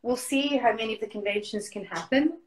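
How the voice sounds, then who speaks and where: A woman's voice: fairly high pitch, fairly quick, poor audio. A woman in her forties from Scotland with a Scottish accent, chatting as a guest on a video call from home and reading out viewers' greetings. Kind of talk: casual video call chat. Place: home room indoors. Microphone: video call webcam.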